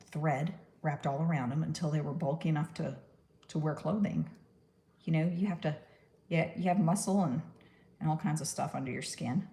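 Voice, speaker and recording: A woman's voice: deep voice, creaky, warm tone. A woman from New York with a Texan accent, chatting casually through a crafting livestream, rambling and laughing at her own puns. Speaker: stop-motion puppet maker.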